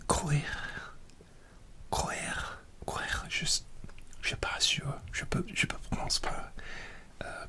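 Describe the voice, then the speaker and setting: Guy whispering asmr style, super slow, very bright tone, trips on words. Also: sits high. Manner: slow, careful whisper, hesitant over unfamiliar words. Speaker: learner presenting dialect rules.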